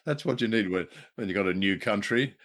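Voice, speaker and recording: A man's voice: fairly low pitch, brisk, a bit bright. A man co-hosting a radio show in casual chat, jokey and wry, with a rambling, hesitant delivery. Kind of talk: casual chat about old radio drama.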